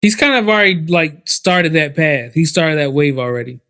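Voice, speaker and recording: A man co-hosting a podcast, chatting in a relaxed, slangy way with plenty of "like". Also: on the high side, moderate pace. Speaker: podcast co-host. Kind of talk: casual chat.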